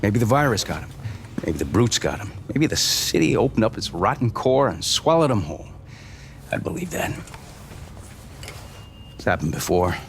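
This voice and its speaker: A jaded American engineer in his middle years for a game cutscene. He is blunt and foul-mouthed, with a very lively, swinging intonation. Faint noise sits behind the voice. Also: fairly low pitch, normal speed, pauses often. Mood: bitter, weary. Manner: blunt, profane, grumbling. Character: bitter, cynical middle-aged male tech engineer. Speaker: game character. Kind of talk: video game cutscene dialogue.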